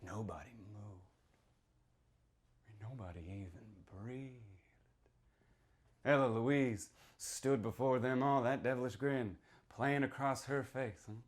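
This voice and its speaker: A man playing a folksy American small-town storyteller, telling a dark witch legend in a theatrical, conspiratorial way with questioning tags. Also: mid-range voice, slow pace, long pauses, close to an everyday voice. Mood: hushed, eerie. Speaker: author reading from a novel. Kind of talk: theatrical in-character reading of horror fiction.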